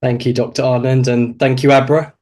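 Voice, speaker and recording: A man's voice: medium pitch, flat delivery, a little fast, darkish tone. Snuffly man presenting informally. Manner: explanatory, poses questions then answers them. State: snuffly with a cold. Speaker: presenter, doctor advising medical school applicants.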